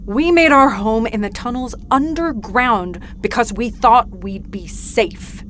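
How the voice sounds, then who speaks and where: An actress playing a blunt, bitter, defiant leader of underground outcasts in a superhero audio drama. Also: female voice, a little high, takes it slowly, slight background noise. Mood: angry bitterness. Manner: blunt, embittered, forceful.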